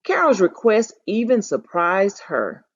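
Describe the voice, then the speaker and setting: A woman teacher reading a story aloud in an expressive, emphatic storytelling style, pausing to share her thoughts. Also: mid-range voice. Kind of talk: expressive story read-aloud with think-aloud commentary. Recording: dull, lo-fi audio.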